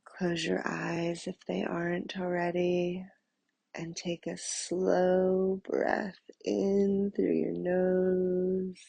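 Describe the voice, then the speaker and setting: Very slow, breathy woman guiding meditation. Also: fairly low pitch, monotone, no echo, dull audio. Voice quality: soft, breathy, husky. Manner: slow, soothing, with long pauses. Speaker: meditation guide. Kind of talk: guided meditation narration.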